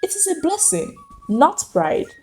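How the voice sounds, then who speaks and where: A woman voicing a humble housemaid mother in a folktale, respectful and earnest, with quiet resolve. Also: extremely high pitch, huge pitch swings, unhurried, soft background music, pitched above the performer's own voice, full character voice. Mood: earnest, pleading. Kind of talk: dramatic folktale storytelling.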